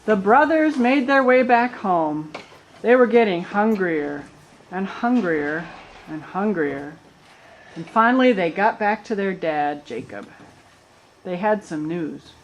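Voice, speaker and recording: A woman's voice: mid-range voice, lively intonation, takes it slowly, low-quality recording. A woman serves as narrator for a puppet show. She tells the story in a colloquial storyteller's way, dropping in little asides as she goes.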